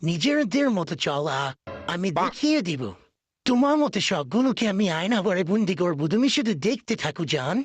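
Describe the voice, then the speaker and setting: A man's voice: sits high, very animated, a little fast. A man voicing a boastful, lovestruck male political leader in a comic cartoon parody, wooing and bragging in exaggerated style. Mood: boastful, menacing.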